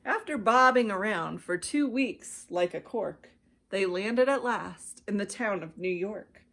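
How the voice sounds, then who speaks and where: An American woman reading a rhyming children's storybook aloud as an expressive narrator. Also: mid-range voice, a little slow. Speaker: children's ministry director reading stories.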